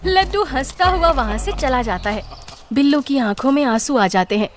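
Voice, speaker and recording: High-voiced woman narrating a cartoon story. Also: lively intonation, normal speed.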